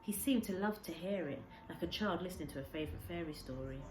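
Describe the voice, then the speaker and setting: A woman reading a children's story aloud as a teacher, in expressive narration. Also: fairly low pitch, fast talker. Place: indoor room with a window. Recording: echoey room with faint background noise.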